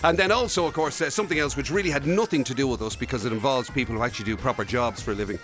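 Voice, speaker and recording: An Irish man co-hosting a radio show in casual, comic banter, excitable and given to exclaiming. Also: mid-range voice, rapid-fire, soft background music. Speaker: radio show and podcast co-host.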